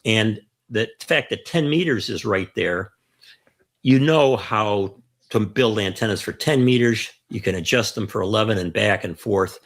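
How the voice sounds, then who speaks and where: A mature man with a slightly low voice talks in an explanatory, conversational way as a show co-host. He lays out his points in order and stresses important terms by saying them again. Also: creaky. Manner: emphatic, repeats key phrases. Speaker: co-host of a ham radio show.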